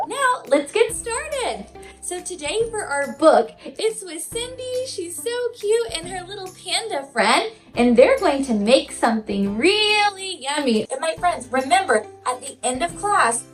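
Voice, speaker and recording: A woman with a very high, swooping voice leads storytime for little kids. She is a teacher who talks slowly and directly to children with big, sing-song enthusiasm. The room adds noticeable echo. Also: fairly bright tone. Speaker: children's teacher and show host. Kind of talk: read-aloud storytime for young children.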